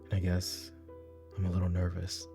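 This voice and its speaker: A man playing a weary, brooding middle-aged mafia boss and father in a roleplay audio drama, speaking in a halting, intimate way. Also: monotone, music in the background. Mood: uneasy, brooding. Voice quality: gravelly, low. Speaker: voice actor.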